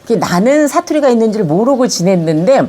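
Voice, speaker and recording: An older Korean woman with a slight Chungcheong accent, calmly telling her life story in an interview. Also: fairly high pitch, fairly quick. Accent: Chungcheong-tinged Korean. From Korea, Yongin area of Gyeonggi. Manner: calm, reminiscing, gently animated when recalling childhood. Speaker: elderly interviewee recording a video autobiography.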